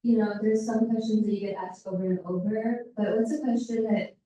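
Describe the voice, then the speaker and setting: A woman in the audience asks the author a question, friendly and a little hesitant. Her voice is mellow and sits at a middle pitch. She is far from the mic in a very roomy hall. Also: fairly even intonation, a little fast. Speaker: audience member asking a question. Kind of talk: casual question at a live event.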